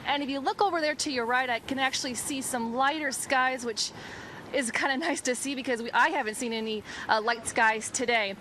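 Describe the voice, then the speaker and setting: A woman's voice: high-pitched, fairly quick, fairly bright tone. A woman TV news reporter giving an informal, ad-libbed live weather report from outdoors. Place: outdoors by the shore. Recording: faint outdoor storm-day noise.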